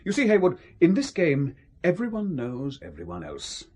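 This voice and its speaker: An actor playing a condescending British spymaster in an audio drama, with an emphatic, patronising delivery. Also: male voice, fairly high pitch, huge pitch swings, normal speed, darkish tone, slightly rough, exaggerated voice. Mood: condescending, menacing.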